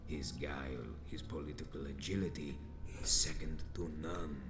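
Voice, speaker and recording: An actor playing an ancient, proud and vengeful vampire elder in a video game, speaking gravely in formal, archaic, theatrical phrases. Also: male voice, fairly even intonation, a little slow, echoey room, noisy background. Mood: measured, commanding. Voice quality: very deep, grave.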